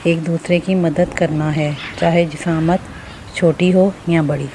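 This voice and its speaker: A woman with a slightly low voice narrates a scripted story for children. She is the storyteller, and there is clear background noise with insect ambience behind her. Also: darkish tone, low-quality recording.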